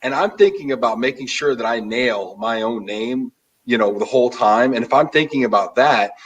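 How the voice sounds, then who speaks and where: A man hosting a casual interview, talking in an animated, emphatic way as he gives advice. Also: mid-range voice, normal speed, breathy edge, far from the mic, poor audio. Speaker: host. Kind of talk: casual interview and live chat.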